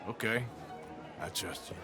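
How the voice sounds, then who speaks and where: An actor playing a gruff, sardonic outlaw gunslinger in a Western video game, talking in a dry drawl. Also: male voice, measured pace, music in the background, crowd noise, close to an everyday voice. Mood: coolly needling. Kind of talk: video game cutscene acting, Western drama.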